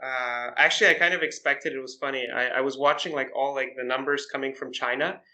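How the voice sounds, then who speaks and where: A young man as a podcast guest, telling stories casually with frequent pauses and restarts. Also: mid-range voice. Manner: relaxed storytelling, hesitant with "uh" and restarts. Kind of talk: casual chat.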